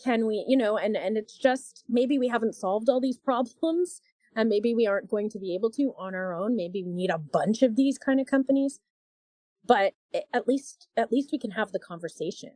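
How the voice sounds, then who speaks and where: A woman's voice: on the high side, moderate pace, mellow, no echo. Earnest woman, dry close sound. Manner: earnest and emphatic, rising to indignation. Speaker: opera singer guest. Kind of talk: casual podcast interview.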